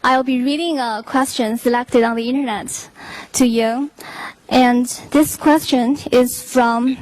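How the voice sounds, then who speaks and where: A woman's voice: on the high side, fairly even intonation, measured pace, darkish tone, no room sound, low-quality recording. A young woman university student reading a question aloud in a careful, polite way. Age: young adult.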